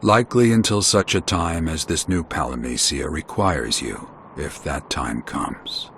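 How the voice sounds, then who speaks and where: A man playing a weary, wise old warrior in a video game, speaking in measured, formal phrases. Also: very deep voice, background noise. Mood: grave. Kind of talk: scripted video game voice acting.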